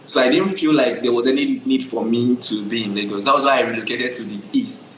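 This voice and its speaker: A man's voice: medium pitch, fairly quick, slight background noise. Nigerian man telling his story, echoey. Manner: animated storytelling, often asks "do you understand?". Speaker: guest photographer. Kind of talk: casual interview.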